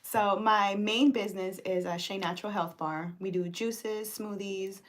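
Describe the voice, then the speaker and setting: A woman's voice: mid-range voice, steady tone, a little slow. A woman in her thirties from Cuba, raised in the Caribbean, chatting casually as an interview guest in a radio studio, laughing often between fillers. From Cuba, raised in Grand Cayman. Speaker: guest, business owner.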